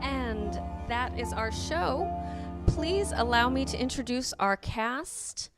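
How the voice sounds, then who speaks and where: A woman's voice: a little high, animated, slow pace, over loud music. A woman hosting a show, reading out an outro and introducing the cast.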